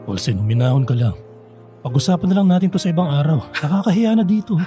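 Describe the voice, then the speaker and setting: A man's voice: fairly high pitch, animated, fast, dark tone, lightly acted. An actor with a Filipino accent playing an evasive, ashamed middle-aged father in a radio drama. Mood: evasive, uneasy. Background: music.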